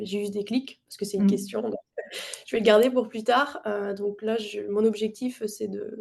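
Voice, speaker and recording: A woman of thirty with a French accent from France, talking casually as a podcast guest. Her voice is clearly creaky and soft-toned, in a middle register. She often stops and rewords her sentences. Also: medium pitch. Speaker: podcast guest, engineer and investing content creator. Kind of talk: casual interview chat.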